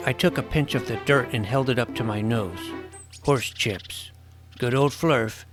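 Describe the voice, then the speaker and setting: A man's voice: mid-range voice, measured pace, close to an everyday voice. A man plays an eager young fantasy adventurer in an audio drama, with music running under him. He tells his quest in florid, wry, scripted style and speaks his own lines as the boy. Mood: wry, wistful. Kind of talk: scripted first-person story narration with acted dialogue.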